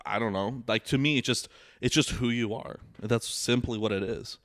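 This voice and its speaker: A man's voice: mid-range voice, moderate pace, a bit bright, no room sound, studio quality. A young man co-hosting a casual podcast with his sister, rambling through his points with lots of "like" and restarts. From Bosnia. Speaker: podcast co-host. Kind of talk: casual chat.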